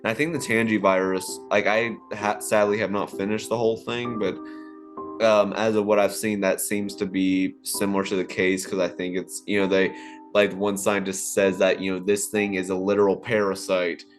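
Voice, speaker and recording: A man with a lowish voice conducts a casual interview. He talks in a halting, wordy way with plenty of "like", over faint plucked guitar music. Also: level tone. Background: soft plucked guitar music.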